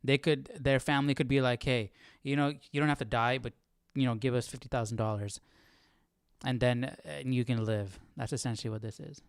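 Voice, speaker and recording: A man's voice: medium pitch, steady tone, brisk, smooth, no room sound. A man hosting a live Bible study with casual commentary, reasoning aloud hesitantly and often checking himself with "right?".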